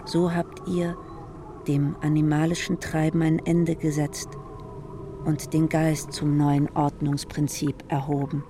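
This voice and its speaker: A solemn voice that passes judgment on mankind, declaiming slowly in a fairly high, flat tone. Clear background noise and insect sounds run underneath. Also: male voice. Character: solemn male speaker judging mankind.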